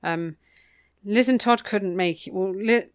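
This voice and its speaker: A woman's voice: medium pitch, unhurried. A British woman co-hosting a podcast, casually recapping a book in an informal, gossipy, wry way. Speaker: podcast co-host.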